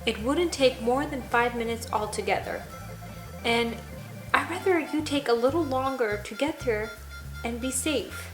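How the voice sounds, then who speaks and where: Protective storybook mother, acted by a woman: caring, insistent, fairly high voice in an echoing room. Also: unhurried, music in the background, lightly acted. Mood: concerned, firm. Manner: caring but insistent, cautioning. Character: protective, firm mother of a young girl. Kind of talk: children's bedtime story.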